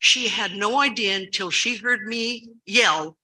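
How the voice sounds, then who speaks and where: Loud, urgent older woman, very bright voice. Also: medium pitch, a little slow, rough recording. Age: 70s. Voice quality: loud, carrying voice. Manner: urgent, emphatic storytelling with repeated stress words. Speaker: school recess staff member giving public comment. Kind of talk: public comment at a school board meeting.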